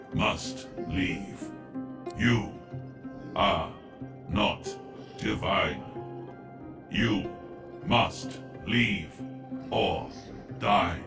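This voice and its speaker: An actor playing a massive, cold automaton guardian in a video game, speaking word by word in a halting, mechanical way. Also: male voice, low voice, vocal fry, exaggerated voice. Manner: halting word-by-word mechanical delivery, flatly threatening. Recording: distant echoing voice under loud music.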